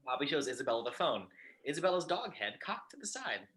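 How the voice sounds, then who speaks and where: A man with a husky voice reading scripted stage directions as narrator of a table read. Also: mid-range voice, very animated, fast, a bit bright.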